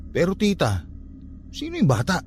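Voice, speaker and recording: A man's voice: high-pitched, huge pitch swings, normal speed, faint music behind. A man playing a troubled, stubborn, grieving young nephew in an emotional Tagalog radio drama. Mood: uneasy, subdued. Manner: emotional, questioning.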